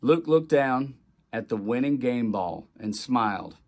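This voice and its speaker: A man with a medium-pitched voice reads a children's storybook aloud. His delivery is expressive and animated, shaped for young listeners, and he acts out the dialogue as he goes. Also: unhurried. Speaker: guest reader of a children's book.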